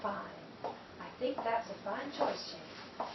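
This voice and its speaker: An actress playing a haughty, sardonic sister-in-law who speaks with cool superiority in a 1930s-style radio drama. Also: female voice, on the high side, animated, slow pace, echoey room, recorded from a distance, lo-fi sound, background noise.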